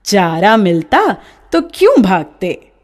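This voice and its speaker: A woman's voice: mid-range voice, very animated, slow pace. A woman voicing a kind village farmer who defends his bulls with indignant, teasing retorts.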